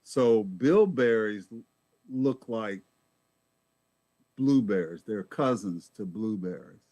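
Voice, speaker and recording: Guy talking slow and low, folksy how-to advice, pretty dry close sound. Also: animated, mellow, dry recording. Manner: folksy, explanatory, repeats words while thinking. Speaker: guest health presenter. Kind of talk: informal health talk.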